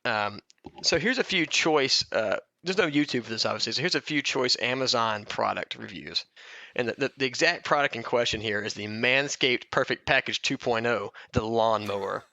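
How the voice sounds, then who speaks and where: A man's voice: mid-range voice, moderate pace, bright, forceful tone, no room sound, lo-fi sound. A man co-hosting a loose comedy podcast, chatting in a wry, self-deprecating and casually crude way. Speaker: podcast co-host.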